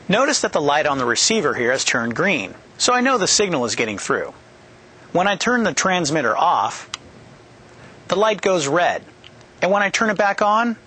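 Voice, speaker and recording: Man, 30s, product trainer, animated pitch, slightly husky, headset mic, studio. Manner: upbeat, jokey, clearly explaining steps. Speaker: product manager presenting a training video. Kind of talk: scripted instructional presentation. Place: studio set with a yellow backdrop. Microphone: headset boom mic.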